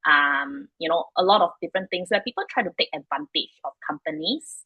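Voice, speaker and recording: A woman's voice: sits low, brisk, breathy edge, no room sound, dull audio. A woman in her thirties from Singapore with a Singaporean English accent, a startup founder answering interview questions frankly, in a loose, chatty way full of fillers. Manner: frank, chatty, many fillers like "like" and "you know".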